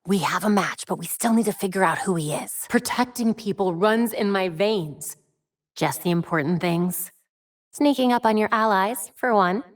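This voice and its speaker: A young female vigilante from a video game, played by an actress, speaks in a medium-pitched voice. She is a sharp-minded detective, determined and wry, quick with a quip but sincere. The lines are short, scripted and cleanly dry, with no room sound. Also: no room sound, natural voice. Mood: wry, focused. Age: young adult.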